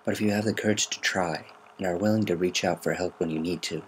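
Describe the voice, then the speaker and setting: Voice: sits low, steady tone, quick pace, natural voice. A reader voicing a brash but tender young pony mother with a casual, slangy way of talking, on a noisy amateur recording. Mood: reflective. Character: brash, tender-hearted young adult mare, new mother.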